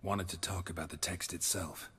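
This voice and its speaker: An actor playing a gruff, laconic witcher in a video game, with a gravelly voice and terse, dry delivery. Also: low voice, fairly even intonation, moderate pace, pushed and bright, in a large hall, exaggerated voice. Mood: calm, dry. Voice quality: gravelly, husky. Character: gruff, laconic male witcher with cat eyes. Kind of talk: video game dialogue acting.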